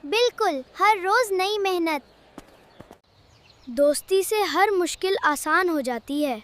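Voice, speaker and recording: A woman's voice: very high-pitched, expressive. A woman voicing a cheerful, helpful young boy vegetable in a children's cartoon, with an earnest, encouraging manner. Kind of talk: children's cartoon moral story dialogue.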